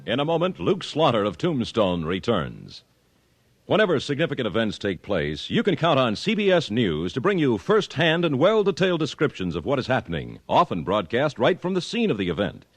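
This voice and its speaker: Very animated American radio announcer, male. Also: mid-range voice, brisk, no echo, soft background music. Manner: polished, formal. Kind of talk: 1950s radio announcing, scripted.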